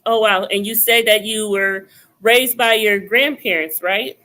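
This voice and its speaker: A woman's voice: medium pitch, unhurried, bright, forceful tone. A woman hosting a conversational interview, putting questions to a guest. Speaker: interviewer, host.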